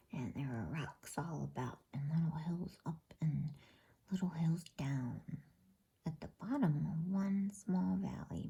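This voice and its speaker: Female ASMR storyteller: dark, hushed, breathy whisper, gentle and unhurried bedtime read. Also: fairly low pitch. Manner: slow, gentle, with brief informal asides. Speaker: storyteller reading a children's fantasy novel aloud.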